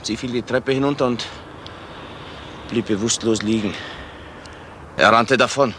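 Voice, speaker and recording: An actor playing a wary, protective middle-aged father, guarded and deliberate, in a German crime radio play. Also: medium pitch, lightly acted. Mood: indignant. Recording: faintly noisy radio drama recording.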